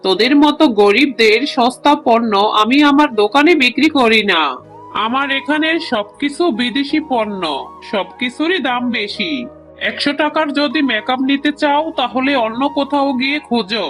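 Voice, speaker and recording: Woman voicing a haughty, high-voiced cartoon tigress. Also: moderate pace, a bit bright, faint music behind. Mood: scornful. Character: haughty tigress shopkeeper, snobbish and scornful of the poor. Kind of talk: children's cartoon voice acting.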